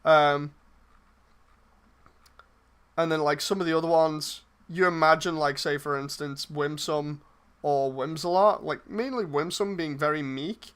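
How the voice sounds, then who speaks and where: A man's voice: fairly high pitch, steady tone, measured pace, pauses often, a bit bright, clear, resonant tone. A man chatting casually on a livestream as he replies to messages, rambling and hesitant, often trailing off mid-thought. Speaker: streamer and project lead casting voice roles.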